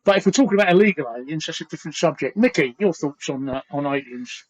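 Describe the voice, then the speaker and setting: A man's voice: on the high side, expressive, fast talker. A man in his sixties with a London Cockney accent, hosting a casual livestream chat, earnest and rambling, repeating phrases as he thinks. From East London, England. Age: 60s. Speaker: livestream host. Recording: dull lo-fi stream audio.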